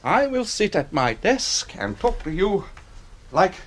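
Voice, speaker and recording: A man's voice: high-pitched, big pitch swings, slow, full character voice. An older man with a British accent, playing an irascible, bereaved, pompous retired army colonel in a radio drama. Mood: pompous, bitter. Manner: pompous, blustering, sardonic.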